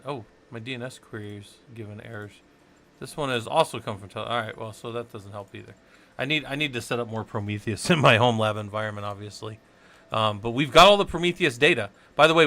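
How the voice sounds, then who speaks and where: A man's voice: mid-range voice, vocal fry, pushed and bright, slight background noise. A man from the Southwest United States rambling and thinking aloud while teaching a casual live-stream tech tutorial, chatting with viewers as he works.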